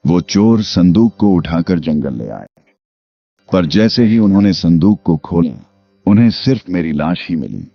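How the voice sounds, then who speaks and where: A man playing the grave, restless ghost of a murdered man, speaking in a measured way in an animated story. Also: deep voice, vocal fry, no echo, full character voice. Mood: grave, sorrowful. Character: murdered man's restless ghost bound to a chest, solemn. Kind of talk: animated Hindi moral story acting. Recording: dull lo-fi audio with music behind.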